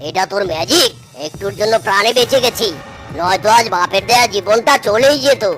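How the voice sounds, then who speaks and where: A performer voicing an angry, rough-tongued male character in a children's cartoon, with a gravelly voice. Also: mid-range voice, a little fast, a bit bright, a little hiss or hum, close to an everyday voice.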